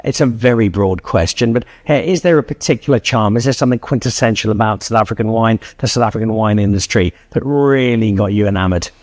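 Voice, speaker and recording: A man hosting a wine show, interviewing a guest in a lively, teasing way. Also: on the low side, fast talker, few pauses. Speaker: show host. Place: hotel room.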